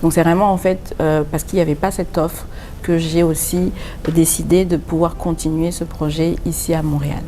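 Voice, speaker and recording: Mellow-voiced French woman, hesitant. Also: deep voice, little pitch movement, normal speed, slight background noise. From France. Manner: earnest, explains at length with many hesitations. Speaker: designer and boutique founder. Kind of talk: interview answers. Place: pop-up boutique.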